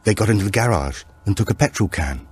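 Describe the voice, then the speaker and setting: A man voicing a cheerful, energetic old village woman in an audiobook, chatty and matter-of-fact. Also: on the low side, fast, husky. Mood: chatty, eager.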